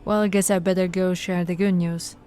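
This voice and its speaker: A woman playing a patient, teasing policewoman in an intimate ASMR roleplay, talking in a soft, coaxing, conversational way. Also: fairly low pitch, level tone, a little fast, smooth, lightly acted. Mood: businesslike. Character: flirty, patient, teasing policewoman reassuring a suspect.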